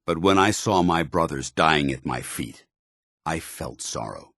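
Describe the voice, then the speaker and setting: A deep-voiced, earnest military paladin. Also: male voice, animated, vocal fry, a bit bright, no echo, studio quality, exaggerated voice. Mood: solemn, sincere. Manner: grave, formal, deliberate soldierly delivery. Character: earnest, honour-bound military paladin, a synthetic man troubled by his identity. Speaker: voice actor in a video game. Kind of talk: dramatic video game dialogue.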